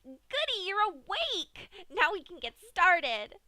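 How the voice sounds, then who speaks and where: A woman's voice: very high voice, big pitch swings, slow, bright, forceful tone, no echo, voice pushed up, heavily acted. A woman voicing a hyper, giggly young female pink pony in a fan-fiction reading, with a squealing, bouncy, gleeful manner.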